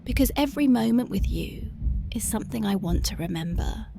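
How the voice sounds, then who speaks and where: A woman voicing a tender, dreamy young punk girlfriend in an ASMR roleplay, speaking softly and often slipping into whispers. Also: mid-range voice, expressive, a little fast, gravelly, over music. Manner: soft, often drifting into whispers. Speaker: ASMR voice actress.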